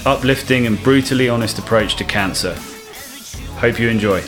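A man's voice: mid-range voice, moderate pace, music in the background. A man hosting a podcast who introduces the show and asks questions in a friendly, rambling way with many restarts. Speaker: podcast host.

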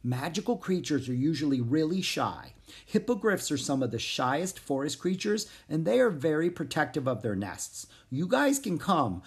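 A woman reading a storybook aloud, voicing a small, anxious but helpful male forest frog in a gentle, earnest tone. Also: deep voice, breathy edge, full character voice. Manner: gentle, earnest, softly pleading. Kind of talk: storybook character voice.

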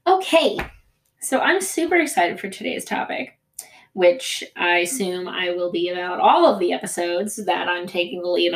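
A woman from the United States whose first language was Greek, co-hosting a podcast in casual, rambling chat full of fillers and restarts. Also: on the low side. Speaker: podcast co-host.

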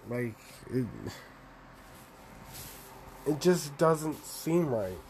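A young man with a deep voice, rambling through a solo vlog, self-deprecating and swearing casually. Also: lively intonation, extremely slow, darkish tone, slight background noise, poor audio. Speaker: podcaster and vlogger.

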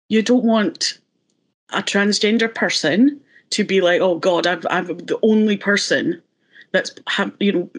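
A woman speaking on a panel discussion in an animated, chatty way, telling anecdotes. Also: on the low side.

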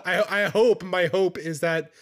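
Guy rambling in a casual chat, bit higher pitched, chuckles a lot. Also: steady tone, a little slow, background noise. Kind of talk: casual banter.

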